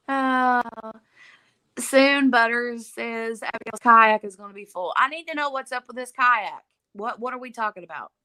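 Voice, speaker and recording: A woman's voice: on the high side, unhurried, pauses often, bright, forceful tone, no room sound, poor audio. A woman hosting a casual livestream from home, chatting and laughing and reading viewer comments aloud. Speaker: livestream host. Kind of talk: casual live chat.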